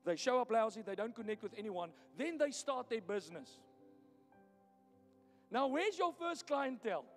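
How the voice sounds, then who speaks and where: High-voiced South African pastor preaching. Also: male voice, very animated, moderate pace, long pauses. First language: Afrikaans. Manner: blunt, teasing, emphatic. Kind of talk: sermon. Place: church hall. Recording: echoey hall with music.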